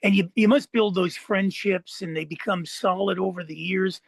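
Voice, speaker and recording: Guy podcast host with a pretty high voice, chatty interview style, dry sound. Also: steady tone, moderate pace, gravelly, dry recording. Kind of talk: casual interview chat.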